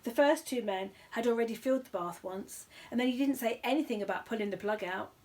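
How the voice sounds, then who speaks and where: A woman's voice: on the high side, fairly quick, a little mellow, voice pushed up, natural voice. A woman voicing a polite, earnest, literal-minded young male bear in a children's storybook reading. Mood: politely insistent. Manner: polite, matter-of-fact, insistent.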